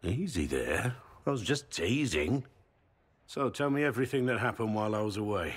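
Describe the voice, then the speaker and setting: A deep, joking warrior. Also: male voice, animated, normal speed, breathy edge. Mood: easy. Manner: friendly, joking storyteller. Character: gruff, warm male warrior knight.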